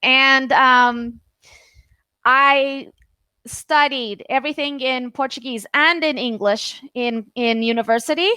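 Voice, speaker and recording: A woman's voice: high-pitched, fairly even intonation, slow pace, bright, forceful tone, smooth, no echo. A Canadian woman tutoring English over a video call, chatting casually and hesitating often with fillers and restarts. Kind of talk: casual online conversation lesson.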